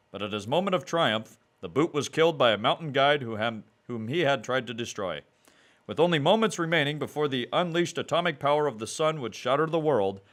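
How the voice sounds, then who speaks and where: Man, radio drama narrator, booming, theatrical, music behind. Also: fairly bright tone. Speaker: narrator and announcer. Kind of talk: 1940s radio serial narration.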